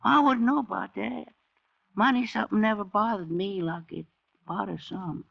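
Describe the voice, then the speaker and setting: An actor playing a good-natured freed slave in an old-time radio drama, with a folksy, meandering Southern drawl. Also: male voice, high-pitched, normal speed, vocal fry, heavily acted. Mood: folksy, wistful. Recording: muffled old radio broadcast.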